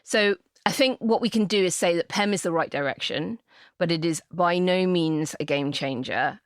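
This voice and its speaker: A woman with a low voice takes part in an informal political discussion as a policy commentator. She explains her points frankly, and the sound is dry, with very little room around it. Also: moderate pace, dry recording.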